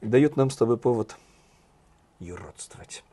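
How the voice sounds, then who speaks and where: A man with a hoarse voice co-hosting a satirical news chat, talking in a sardonic, ironic way. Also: medium pitch, animated, moderate pace, mellow. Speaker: co-host, journalist.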